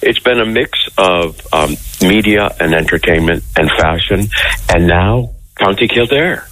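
American guy with a really low voice, chatty and friendly on a phone line, lots of ums. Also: animated, unhurried, a bit bright, a little hiss or hum. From Connecticut, USA. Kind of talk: casual interview chat.

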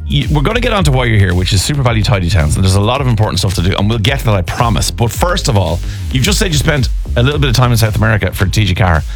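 An Irish man hosts a radio show in a lively, playful, teasing way, his pitch bouncing around as he chats. He talks into a studio microphone, with faint music playing behind him. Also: racing. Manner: lively, teasing, sings station idents. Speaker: radio host. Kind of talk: casual radio chat.

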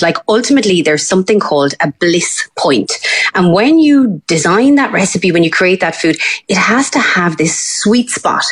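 Food writer lady, chatty, animated, mid voice. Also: medium pitch. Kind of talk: radio interview.

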